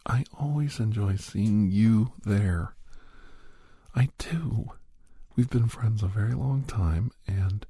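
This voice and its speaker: A middle-aged man hosting a whispered ASMR roleplay, chatting softly and gently teasing the listener as a caregiver. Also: on the low side, takes it slowly, husky, dry recording, studio quality. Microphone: close microphone.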